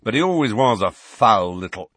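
A man's voice: on the low side, sing-song, normal speed, fairly bright tone, dry recording, voice pushed up. A man voicing a cold, impatient master of the house in a storybook, snapping curtly.

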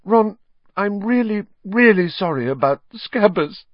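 A man voicing an emotional, bossy teenage schoolgirl in a shrill tone for a storybook. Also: sing-song, normal speed, no echo, lo-fi sound, heavily acted. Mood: tearful.

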